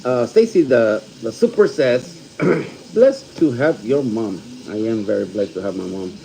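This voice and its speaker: A man in his fifties from California hosting a casual livestream from home, reading out viewer comments and names, thanking people warmly and slipping in Spanish phrases. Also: medium pitch, animated, creaky, a little hiss or hum, rough recording. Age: 50s. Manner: warm, thankful, reads out viewer names slowly; mixes Spanish phrases into English. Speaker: livestream host.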